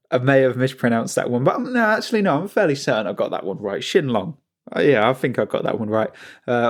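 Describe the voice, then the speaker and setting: A man's voice: mid-range voice, a little mellow. Chatty Englishman with a rambling delivery. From England. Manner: rambling, with frequent restarts and asides. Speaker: channel host. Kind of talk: casual unscripted commentary.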